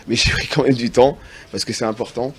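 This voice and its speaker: A man from France speaking as a TV studio guest, earnest and halting, often restarting his sentences. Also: medium pitch, fairly even intonation, breathy edge. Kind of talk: casual TV interview answers. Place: TV studio set.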